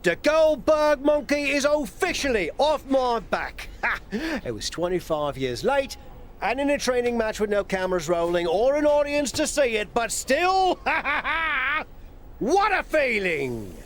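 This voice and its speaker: A man's voice: very high-pitched, big pitch swings, a little slow, a bit bright, full character voice. A middle-aged man playing a proud, crafty, teasing veteran wrestler who coaches frankly in a video game cutscene. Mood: elated. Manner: frank, coaxing, wry.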